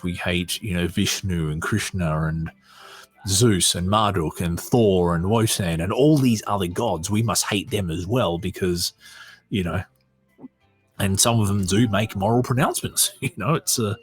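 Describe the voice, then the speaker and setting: An Australian man with a deep, slightly rough voice hosts a podcast. He moves between reading aloud and conversational, unscripted commentary. His tone sits low and husky. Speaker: podcast host.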